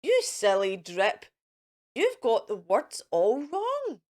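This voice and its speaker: A woman voicing fierce, bullying young tyrannosaurs in a storybook, shouting in an excitable, scornful way. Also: a little high, slow pace, heavily acted. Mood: mocking.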